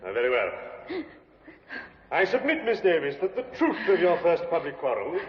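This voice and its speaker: An actor playing a stern prosecuting barrister cross-examining a defendant in a 1950s radio drama. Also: male voice, a little high, sing-song, moderate pace. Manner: stern and cutting. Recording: dull echoey old radio recording.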